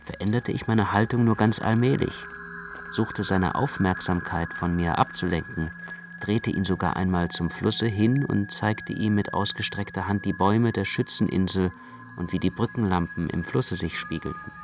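A man's voice: deep voice, moderate pace, background noise. A man narrating a radio play in the first person as an anxious, brooding literary storyteller.

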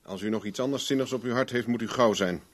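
An actor in a 1970s Dutch radio drama playing a stubborn, unimpressed investigator, curt and dryly sardonic. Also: male voice, medium pitch, fast talker, natural voice. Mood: dry irony.

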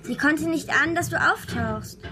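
Female actor doing high little girl voice, nosy matter-of-fact daughter, kids radio play, music behind. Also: moderate pace, natural voice. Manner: direct, probing, matter-of-fact. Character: young daughter, bright, persistent, quick-witted girl. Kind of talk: German children's radio drama dialogue.

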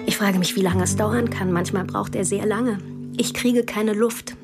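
A woman's voice: medium pitch, steady tone, normal speed, music in the background, close to an everyday voice. An actress playing a troubled young woman in a radio drama, narrating her diary in an intimate, restrained way. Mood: numb, intimate. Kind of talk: radio drama monologue and dialogue.

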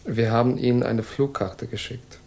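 An actor playing a menacing enforcer, courteous and reproachful with a quiet threat, in a 1960s radio crime drama. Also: male voice, medium pitch, steady tone, moderate pace, natural voice. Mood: polite menace. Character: menacing male enforcer, falsely polite and reproachful.

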